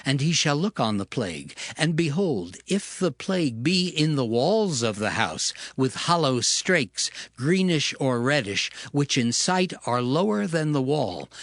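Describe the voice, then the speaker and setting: A man narrating scripture from a script in a measured, solemn manner. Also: medium pitch, fairly bright tone, no echo, clean studio sound. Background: background music.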